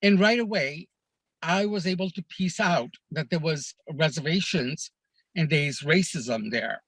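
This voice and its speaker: A man from Kenya, a podcast guest, telling stories of his life abroad in an earnest, measured way, often pausing on "uh" and "you know". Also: a little high, a bit bright, breathy edge, dry recording. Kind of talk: reflective storytelling in a conversation.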